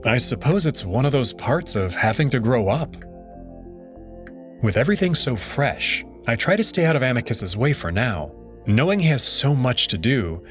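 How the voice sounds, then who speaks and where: A man hosting a let's play channel, reading a visual novel aloud with wry, expressive delivery. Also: medium pitch, moderate pace, soft background music.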